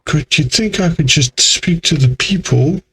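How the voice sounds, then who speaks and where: Slow-paced man grumbling through gameplay. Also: on the high side, a bit bright. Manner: grumbling, exasperated, mildly sweary. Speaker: gaming video host. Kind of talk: casual let's play commentary.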